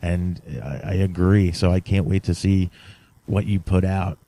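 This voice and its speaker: A man's voice: deep voice, level tone, unhurried, warm tone. A man hosting a podcast, interviewing casually in an informal, admiring, fan-like manner.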